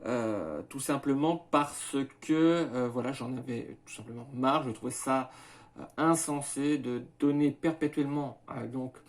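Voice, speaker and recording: A man in his thirties talks to camera about himself, pausing often on filler sounds and restarting his sentences. He lays things out methodically, point by point. His pitch sits in the middle range for a man. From France, Maine-et-Loire. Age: 30s. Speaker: small farm owner presenting his project. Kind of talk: hesitant self-presentation to camera.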